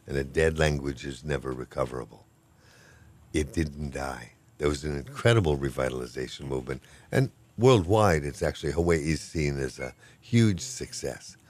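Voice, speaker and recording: An older man with an extremely deep, low voice talks in a relaxed, rambling way. He is good-humored and self-deprecating, a professor chatting through an interview. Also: moderate pace. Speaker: professor of Hawaiian language. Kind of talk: casual interview talk.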